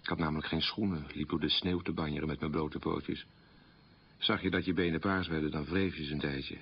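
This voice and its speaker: A man's voice: very deep voice, steady tone, fairly quick, creaky, slightly rough, dull audio, full character voice. An actor playing a proud, wounded man recalling a humiliating wartime childhood in a restrained radio monologue. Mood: bitter recollection. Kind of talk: radio drama monologue, confessional storytelling.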